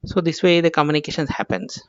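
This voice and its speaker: A man's voice: on the high side, fast talker, a bit bright, no echo, muffled recording. A man with an Indian accent gives an informal tutorial as an instructor, explaining things step by step and often repeating himself.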